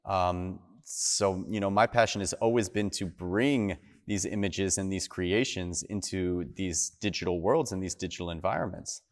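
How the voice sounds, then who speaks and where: Low-voiced man in long reverb. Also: moderate pace, hardly any pauses. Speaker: host. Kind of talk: reflective conversational interview.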